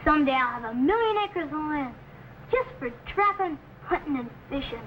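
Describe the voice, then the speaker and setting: A high, bright, eager boy. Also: animated, normal speed. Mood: earnest, grudging. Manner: earnest, protesting, boyish. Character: eager young boy who dreams of exploring. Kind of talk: old-time radio adventure drama acting. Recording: slightly noisy old radio recording.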